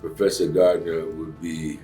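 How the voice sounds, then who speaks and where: A middle-aged man from Cuba speaks in an extremely deep voice, earnest and emphatic as he talks through his thoughts. He is picked up by a microphone set some distance away. Faint music plays underneath. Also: level tone, measured pace. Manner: earnest, warm-hearted, emphatic, with many fillers. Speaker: actor and acting teacher, guest. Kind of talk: casual interview conversation.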